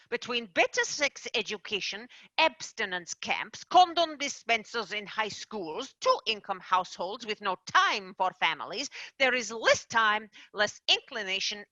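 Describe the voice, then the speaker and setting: A woman playing a formal, measured manager of tooth fairies, in an amateur radio play. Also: dry recording. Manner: formal, measured, lively pitch. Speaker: manager firing an agent.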